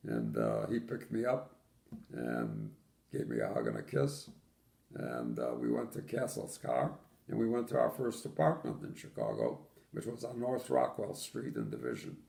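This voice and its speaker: A man's voice: rumbling low, sing-song, normal speed, creaky, dark tone. An older man from Poland, telling his childhood memories in an oral history interview with dry, matter-of-fact humor.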